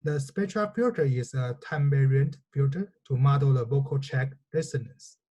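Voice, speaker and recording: Male researcher, native Chinese speaker, soft mellow tone, slightly rough husky voice, methodical formal lecture delivery. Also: medium pitch, moderate pace. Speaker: researcher presenting a technical lecture. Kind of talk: scripted technical lecture narration.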